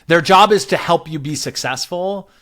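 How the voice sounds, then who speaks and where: A man's voice: fairly high pitch, normal speed, fairly bright tone. A man speaking as an interview guest, explaining work ideas conversationally and often checking in with "right?". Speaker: interview guest, product and growth leader.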